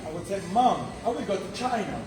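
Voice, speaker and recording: A man telling an impromptu story as a contestant, acting out dialogue in an animated way. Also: sits high, brisk, distant mic. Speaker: contest participant. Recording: echoey room from afar, music behind.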